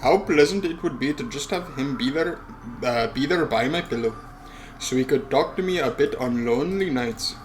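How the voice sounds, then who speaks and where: A man's voice: medium pitch, normal speed, slight background noise, poor audio. A man who hosts a let's play reads a visual novel aloud and adds casual asides with swearing.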